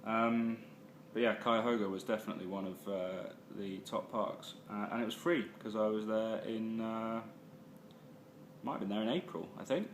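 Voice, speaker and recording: A man's voice: on the low side, normal speed, a little hiss or hum. A man casually recapping his travels for a vlog, full of ums and uhs and self-corrections. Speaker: travel vlogger. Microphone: phone or handheld camera.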